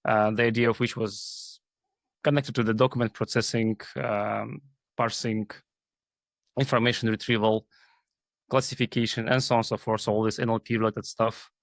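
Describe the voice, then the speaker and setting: A man's voice: fairly low pitch, level tone, normal speed, vocal fry, dry recording. A man from Kazakhstan speaking as an interview guest, explaining his work in a hesitant way full of filler phrases. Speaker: guest, AI team leader. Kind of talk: interview talk.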